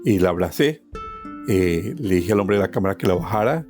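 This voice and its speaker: A man's voice: low-pitched, lively intonation, moderate pace, music in the background. A man from Colombia with a Colombian accent, telling his own life story as a guest. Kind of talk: personal storytelling.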